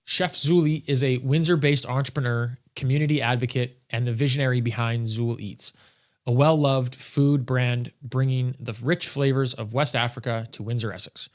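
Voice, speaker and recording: A man hosting an interview podcast, talking in a relaxed way with many fillers. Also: mid-range voice, normal speed. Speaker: podcast host.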